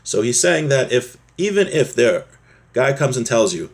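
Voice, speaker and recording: A man with a husky voice giving an informal religious lesson, explaining a text step by step and often rephrasing his points. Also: mid-range voice, very animated, normal speed. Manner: repeats and rephrases, checks in with "right?". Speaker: religious teacher giving a class.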